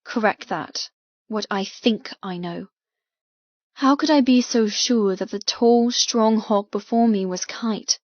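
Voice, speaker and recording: A woman narrates a first-person novel in a medium-pitched voice. Her delivery is measured and dramatic. The sound is very dry and close, with slightly muffled highs. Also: dry recording. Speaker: audiobook narrator.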